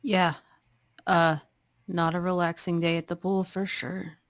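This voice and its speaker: A tabletop player with a halting, informal way of talking, casually role-playing and describing a character's actions. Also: medium pitch, flat delivery.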